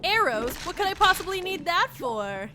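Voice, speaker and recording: A high-voiced young woman with a twang, stuffy from allergies, keeps up sarcastic running commentary at her desk mic while she plays. She talks back to the characters and her chat. Game music plays clearly behind her. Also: very animated, moderate pace. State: sniffly from allergies. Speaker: streamer. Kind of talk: casual let's-play commentary.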